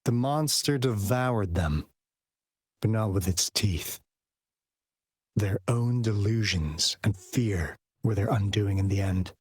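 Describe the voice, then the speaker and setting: Confident young game agent, breezy explainer, lively swooping pitch, dry close recording. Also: male voice, no echo. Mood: thoughtful. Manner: conversational, expository, breezy. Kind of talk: video game dialogue acting.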